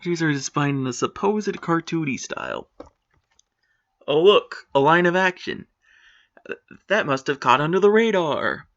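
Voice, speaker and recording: A man's voice: on the high side, animated, unhurried, long pauses, a bit bright, highs cut off. A man giving opinionated commentary on a written post, critical and matter-of-fact with sarcastic asides.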